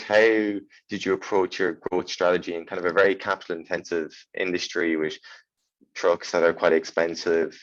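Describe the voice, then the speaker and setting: A man's voice: low-pitched, no echo, muffled recording. A young man, asking wordy, informal questions as an interviewer.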